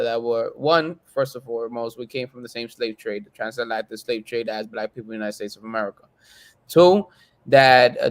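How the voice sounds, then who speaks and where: Man making his points on a panel. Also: mid-range voice. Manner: listing points plainly, mixing in Spanish. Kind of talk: casual panel discussion.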